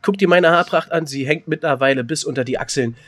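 Joking German-speaking podcast man, fairly high voice. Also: quick pace. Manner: joking, animated, restarts often. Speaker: podcast co-host. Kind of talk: casual comedic chat.

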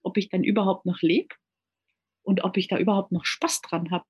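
A woman's voice: medium pitch, steady tone, a little fast, darkish tone, breathy edge, dull audio. A woman from Germany, a native speaker of German and Italian, talking earnestly as an interview guest, often repeating words while she searches for the next one. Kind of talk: casual conversational interview.

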